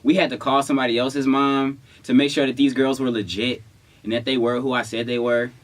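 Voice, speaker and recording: A man's voice: medium pitch, little pitch movement, fairly quick. A young man telling a story in casual, slangy chat, with a playful, protesting manner. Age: young adult. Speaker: vlogger telling a couple story.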